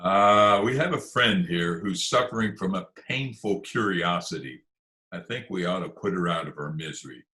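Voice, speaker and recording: A man's voice: sits low, normal speed, pushed and bright. A man playing a tough, curt gangster boss in a 1940s-style radio crime comedy, sneering, slangy and commanding. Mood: impatient, bossy. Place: remote video-call performance.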